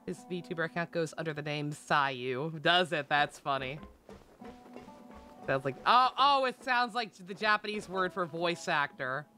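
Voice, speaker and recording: A woman streamer giving casual live game commentary, sarcastic, sweary and expressive. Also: sits low, a little slow, over music.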